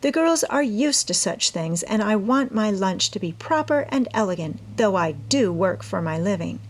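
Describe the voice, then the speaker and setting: A woman's voice: mid-range voice, animated, moderate pace, close to an everyday voice. A woman voicing a genteel, self-assured teenage girl with airy, persuasive manners in a storybook reading. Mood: insistent, proper. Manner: airy, important, sweetly persuasive.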